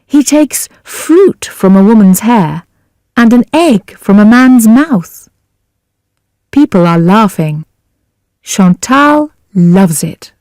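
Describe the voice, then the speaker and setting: A woman reading a simple story for language learners in a deliberate, carefully enunciated scripted narration. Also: medium pitch, animated, darkish tone, dry recording, clean studio sound. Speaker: audiobook narrator.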